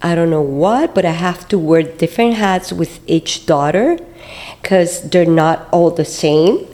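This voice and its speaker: A woman, chatting as a co-host in a halting, slightly shy way. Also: lowish voice, lively intonation, dark tone. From Puerto Rico. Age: 50s. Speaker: podcast co-host. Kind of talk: casual chat, storytelling.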